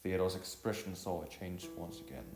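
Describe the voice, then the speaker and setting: A man narrating a scripted story, reading in a halting, stumbling way. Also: deep voice, a little mellow. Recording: roomy echo, music behind.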